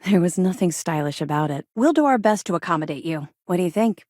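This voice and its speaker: A woman's voice: lowish voice, lively intonation, fast, darkish tone, dry recording, clean studio sound. A woman acting a no-nonsense, guarded female officer in a space video game, matter-of-fact and dryly sardonic. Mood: dry, candid. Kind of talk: scripted game dialogue, naturalistic acting.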